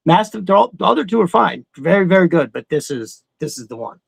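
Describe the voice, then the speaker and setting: A man's voice: high-pitched, animated, a little fast, gravelly, husky, no room sound. A man chatting casually as a podcast co-host, enthusiastic and rambling, with some swearing thrown in. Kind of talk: casual chat about cartoons.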